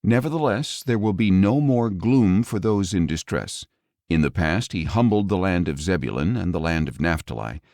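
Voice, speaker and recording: A low-pitched man reads scripture as an audiobook narrator. His delivery is solemn and measured, with lively rises and falls in pitch and slowed, falling phrase endings. The sound is close and dry. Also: no room sound.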